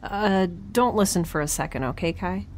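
A woman's voice: medium pitch, moderate pace, slight background noise, natural voice. A woman playing a quirky young scientist in space, recording a chatty, wry spoken log for an audio drama. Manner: chatty, wry, self-interrupting, informal.

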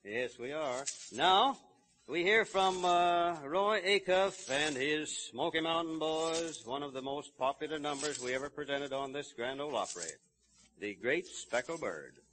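A bright, forceful man's voice, pitched slightly high. He hosts a 1930s live radio show with folksy emphasis. The sound is that of a vintage broadcast recording. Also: animated, measured pace, low-quality recording.

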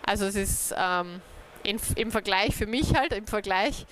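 A woman's voice: mid-range voice, measured pace, a bit bright, a little hiss or hum, rough recording. A young woman, a native speaker, giving an informal talk to a small group about community networks, hesitant with many fillers. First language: German. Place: dark event room, on stage.

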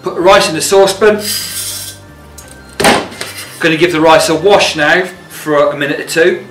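A man's voice: on the high side, moderate pace, distant mic. A middle-aged man giving a casual cooking demonstration as a chef, plain-spoken and informal, recorded indoors in a kitchen. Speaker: chef and cookery teacher. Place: indoor commercial kitchen with steel surfaces. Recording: roomy, off-mic sound with music.

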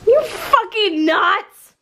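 A high-pitched, sarcastic villain played by a young woman in a homemade cosplay skit. The delivery is indignant and full of swearing. A little background noise sits behind the voice in a home kitchen recording. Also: big pitch swings, extremely slow, sharp tone, full character voice. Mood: outraged. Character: foul-mouthed, exasperated male villain, a killer father in hell. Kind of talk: improvised cosplay role-play skit. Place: home kitchen and dining room. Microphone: handheld camera.

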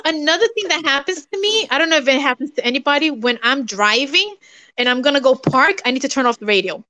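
A woman's voice: high voice, expressive, quick pace, pushed and bright, dry recording. A woman from Puerto Rico with a Puerto Rican accent, co-hosting a live craft session over a video call, chatting casually and poking fun at herself. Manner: chatty, self-mocking, laughs often. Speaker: craft show co-host. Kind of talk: casual chat while crafting. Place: home craft table, indoors.

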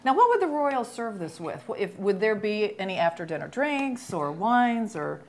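A woman's voice: medium pitch, big pitch swings, moderate pace. A middle-aged American woman chatting casually as the host of a cooking show. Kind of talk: casual chat with guest chef. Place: home kitchen indoors.

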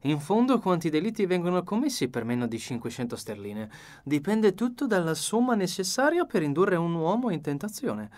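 A man acts out a shrewd little foreign detective from a mystery audiobook. He speaks high and courteously, with a theatrical, knowing deliberateness. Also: big pitch swings, a little mellow. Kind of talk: acted audiobook dialogue.

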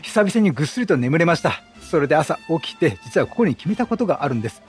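A man's voice: a little high, sing-song, fast, faint music behind. A man voicing an earnest, troubled traveling priest from a video game in polite, solemn phrases.

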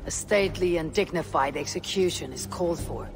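Woman voicing a low-pitched bold Viking warrior woman. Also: fairly quick, close to an everyday voice. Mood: firm, resolute. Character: bold flirtatious female Viking warrior, blunt and resolute. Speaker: game protagonist. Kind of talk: dramatic video game cutscene acting.

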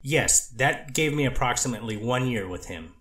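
A man, a treatment counselor, testifying as a witness in a courtroom, measured and guarded, speaking in institutional terms. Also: mid-range voice, animated, fairly bright tone. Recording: echoey courtroom audio.

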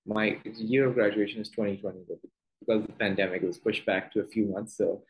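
A man's voice: on the low side, fairly even intonation, fairly quick, a little mellow. A young man in his twenties with a Pakistani accent, a doctor answering interview questions on a video call, earnest and full of "you know" fillers. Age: 20s. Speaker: guest doctor. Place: small room at home. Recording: echoey room on video call.

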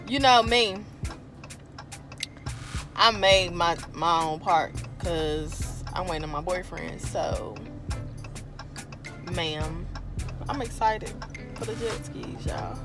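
A young American woman chatting casually and playfully to a vlog, excitable and stretching out her words. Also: on the low side, slow, long pauses, over music. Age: young adult. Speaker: vlogger. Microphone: handheld phone at arm's length.

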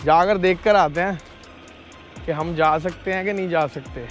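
A man's voice: fairly high pitch, unhurried, faint music behind. A man chatting casually and animatedly to viewers as a trucker vlogger. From India. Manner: animated, addresses viewers as brother. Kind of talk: casual vlog chat to viewers. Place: truck cab and snowy yard outdoors.

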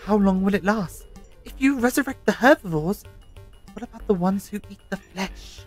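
An excitable male Doctor who travels through time, acted by a man. His delivery is theatrical and anxious, with very lively pitch, over clearly audible music in an audio drama. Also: normal speed. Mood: agitated. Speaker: actor in a fan audio drama. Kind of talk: full-cast audio drama acting.

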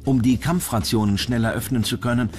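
A man's voice: medium pitch, fairly even intonation, a little fast, faint music behind. A man reading scripted narration as the narrator of a countdown documentary.

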